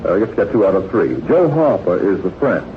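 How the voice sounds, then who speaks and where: A man's voice: sits low, big pitch swings, quick pace, phone-quality sound, slight background noise. A man hosting a 1940s radio quiz in a witty, urbane, teasing manner, on an old radio recording. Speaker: quiz show host.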